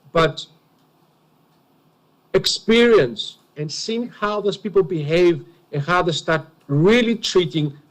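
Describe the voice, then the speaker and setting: A man with a heavy Greek accent, a native Greek speaker, gives witness testimony at an enquiry. His voice sits a little high. He speaks in an earnest, emphatic, confessional way. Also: low-quality recording. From Greece.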